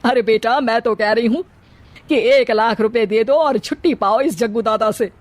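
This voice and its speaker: Older village mother-in-law performed by a woman, high-pitched, scolding, fretful, for a moral story. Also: moderate pace, dry recording, natural voice. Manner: grumbling, exclaiming.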